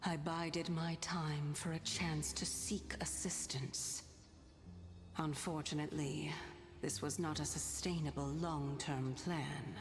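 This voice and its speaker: A woman voicing a calm, gracious ancient goddess in human disguise, speaking softly in a breathy half-whisper. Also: low voice, slow, big-room sound. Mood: calm, knowing. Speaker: game character. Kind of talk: video game voice acting. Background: game music.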